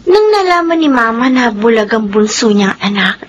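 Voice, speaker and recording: Woman acting a high-voiced, sweet Filipino girlish daughter. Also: expressive, moderate pace, a little hiss or hum, lightly acted. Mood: gentle, curious. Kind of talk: Filipino radio drama acting.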